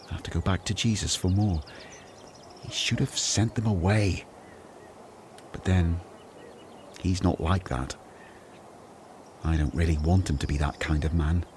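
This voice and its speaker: An actor playing a weary, anxious, grumbling former tax collector turned disciple in a radio play, brooding and earnest. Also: male voice, low-pitched, fairly even intonation, unhurried, long pauses, darkish tone, slight background noise. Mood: brooding, resentful. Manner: brooding, earnest, self-doubting.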